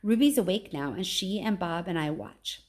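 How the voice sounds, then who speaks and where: A woman teacher reading a children's novel aloud in an expressive storytelling style. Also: fairly low pitch, moderate pace.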